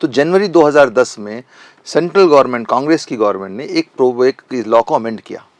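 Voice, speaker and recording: A man's voice: mid-range voice, animated, a little fast, dry recording. A man speaking as an advocate in an interview, insistent and repeating phrases for stress. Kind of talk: impassioned opinion interview.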